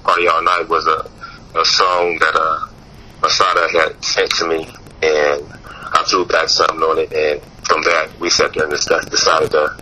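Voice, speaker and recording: A man's voice: rumbling low, completely flat delivery, normal speed, pushed and bright, slight background noise, low-quality recording. A young man from Mississippi, a musician guest in a casual interview, talking loosely with many fillers and restarts.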